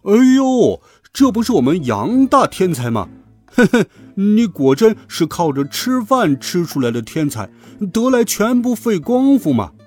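Man acting schoolboy rival, children's audiobook, high voice, jeering, smug, exaggerated swoops. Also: big pitch swings, measured pace, faint music behind. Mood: gleeful mocking. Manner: sneering, exaggerated, theatrical.

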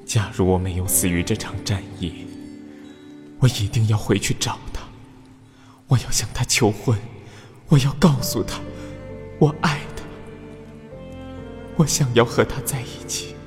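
A man's voice: lowish voice, unhurried, pauses often, natural voice. A man playing a doomed young warrior in an audio drama monologue, regretful and in love, his voice echoing over music. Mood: yearning, resolute. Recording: long reverb, music under the voice.

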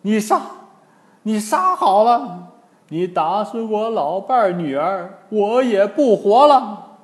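Wailing old man, high and drawn-out. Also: big pitch swings, heavily acted. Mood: wailing grief. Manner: drawn-out theatrical wailing and pleading. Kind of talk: dramatised storytelling.

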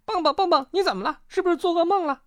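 A storyteller voicing an excitable, caring young animal in a children's storybook, eager and exclaiming. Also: medium pitch, fairly quick, breathy edge, voice pushed up, full character voice. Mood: alarmed, worried.

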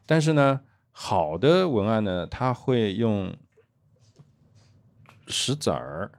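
A man's voice: on the low side, very animated, slow pace. A man in a casual podcast discussion, explaining things with analogies and often repeating himself.

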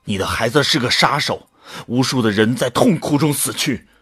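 A man acting the earnest, troubled protagonist of a science fiction radio drama, with a measured dramatic delivery. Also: medium pitch, lively intonation, fairly bright tone, breathy edge, no room sound, clean studio sound. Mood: accusing. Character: earnest male protagonist, troubled and morally outraged. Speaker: voice actor and narrator in an audio drama. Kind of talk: Chinese sci-fi radio drama, first-person narration. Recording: faint music behind the voice.

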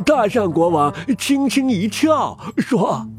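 A man narrating a children's story in an expressive manner. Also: high voice, slow pace. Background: music.

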